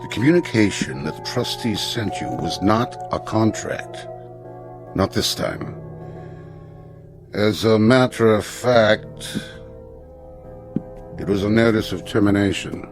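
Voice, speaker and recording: An actor playing a formal, tactful, evasive school headmaster in a radio drama, stiffly courteous and measured in his wording. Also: lowish voice, music in the background. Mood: apologetic, smooth.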